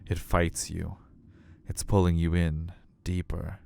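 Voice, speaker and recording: A man's voice: low-pitched, monotone, takes it slowly, gravelly, darkish tone. A man reading a visual novel aloud as dramatic, suspenseful story narration.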